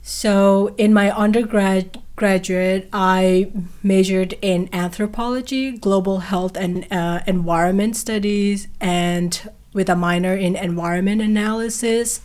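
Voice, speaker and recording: A woman's voice: medium pitch, fairly even intonation, a little slow, a little mellow. A young woman from Nepal, a guest on a podcast interview, speaking hesitantly with many fillers and restarts. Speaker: guest, PhD candidate.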